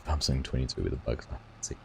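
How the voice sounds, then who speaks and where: A man's voice: extremely low pitch, fast, vocal fry, darkish tone, slight background noise. A man hosting a gaming livestream, chatting casually and reading viewers' comments aloud. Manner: rambling, reads chat aloud and answers viewers.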